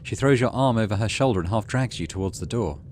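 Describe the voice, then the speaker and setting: A man with a fairly low voice hosts a video game let's play. He talks himself through the game and reads its text aloud. There is clear noise behind his voice. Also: lively intonation, fast talker, darkish tone. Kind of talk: gameplay commentary and reading game text aloud.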